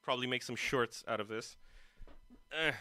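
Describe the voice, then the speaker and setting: A man's voice: medium pitch, normal speed, pushed and bright, smooth, no echo. A man hosting a casual guitar livestream, rambling to viewers and poking fun at himself. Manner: self-deprecating, rambling asides to viewers and himself. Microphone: streaming mic, poorly placed. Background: music playing.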